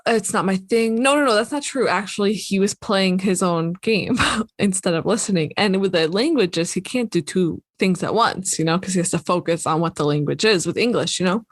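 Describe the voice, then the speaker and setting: A woman's voice: mid-range voice, normal speed, no echo, clean studio sound. A woman co-hosting a podcast in casual chat, laughing often and teasing herself. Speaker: podcast co-host.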